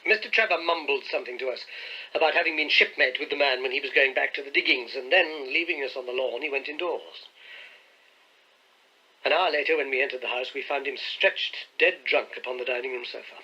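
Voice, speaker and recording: A man narrating an audiobook in a measured, crisp storytelling manner. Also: medium pitch, bright, forceful tone. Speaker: audiobook reader. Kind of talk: scripted narration. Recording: dull lo-fi audiobook recording.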